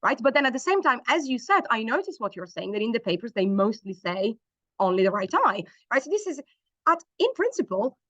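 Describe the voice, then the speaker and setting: A woman's voice: fairly high pitch, very animated, fairly quick, fairly bright tone, no room sound. Woman researcher answering questions, lots of ums and restarts, dry muffled call audio. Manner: hesitant, many fillers and restarts. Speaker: eye-tracking researcher presenting a workshop. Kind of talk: online workshop Q&A answer.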